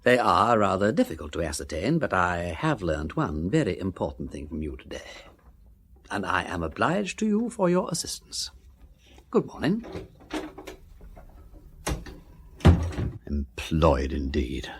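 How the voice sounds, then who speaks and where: An actor playing an aristocratic English gentleman detective in a radio drama, with a husky voice, an affected chatty drawl and an upper-class English accent. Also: low voice, very animated, moderate pace, slight background noise. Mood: stiff, indignant. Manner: affected silly-ass drawl with tag questions. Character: aristocratic gentleman sleuth, breezy, chatty, shrewd underneath.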